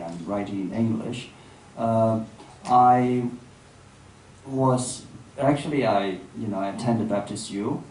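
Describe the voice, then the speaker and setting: Low-voiced man, reflective, distant and echoey. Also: a little mellow. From Hong Kong. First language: Cantonese. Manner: reflective, halting, searching for words. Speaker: filmmaker guest in a public dialogue. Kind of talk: reflective public conversation. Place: event room. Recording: echoey room, distant mic, faint noise.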